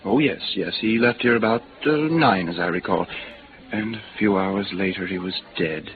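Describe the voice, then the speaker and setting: A man's voice: low-pitched, moderate pace, natural voice. An actor playing a pompous, courteous bartender at an exclusive bar in a 1950s radio drama, formal and flowery in his speech. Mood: confiding, formal. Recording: noisy, narrow vintage radio recording.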